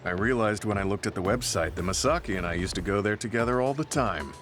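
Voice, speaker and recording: An actor playing a stoic, earnest veteran soldier in a video game, speaking in a serious, reserved, measured way. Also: male voice, fairly low pitch, close to an everyday voice. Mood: calm, earnest. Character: stoic, earnest male veteran soldier, quietly grieving and resolute. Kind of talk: English video game voice acting. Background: soft background music.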